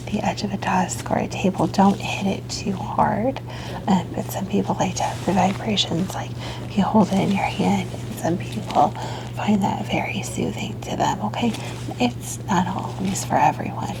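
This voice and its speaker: Softly whispering woman, slow and soothing. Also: medium pitch, rough recording. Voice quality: soft breathy whisper. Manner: gentle, soothing, reassuring doctor's patter. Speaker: ASMR performer playing a doctor. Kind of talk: whispered ASMR medical roleplay.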